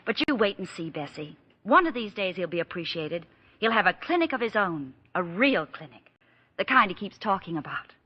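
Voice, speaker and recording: A woman's voice: lowish voice, sing-song, moderate pace, a little hiss or hum. An actress playing a well-off young woman in old-time radio drama, with polished, theatrical speech on a muffled recording. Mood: light, happy. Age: young adult. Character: well-off young woman, hopeful but guarded.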